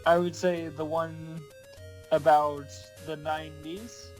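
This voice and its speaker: A man's voice: on the high side, flat delivery, slow pace, darkish tone, low-quality recording. Man in his twenties, casual co-host chat, brief offbeat phrasing, background music. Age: 20s. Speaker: podcast co-host.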